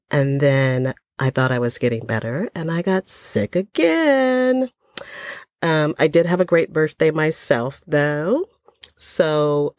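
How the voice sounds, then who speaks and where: A woman's voice: deep voice, a little mellow, dry recording, clean studio sound. An older woman hosting her own podcast in a casual, folksy chat, a little stuffy from a cold, with plenty of ums. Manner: chatty, folksy, lots of ums. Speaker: podcast host.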